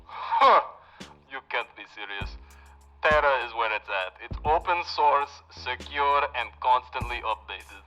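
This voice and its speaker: A man's voice: medium pitch, animated, measured pace, pushed and bright, faint music behind. A man playing a casual, teasing, tech-savvy hacker sidekick in an audio drama. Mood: playful. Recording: dull lo-fi radio-like sound.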